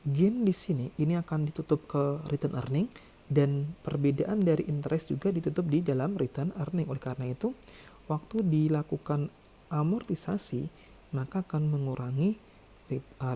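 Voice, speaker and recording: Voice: mid-range voice, mellow, breathy edge. An accounting lecturer explaining calculations step by step in a didactic way. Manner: didactic, checks with "ya" and "oke".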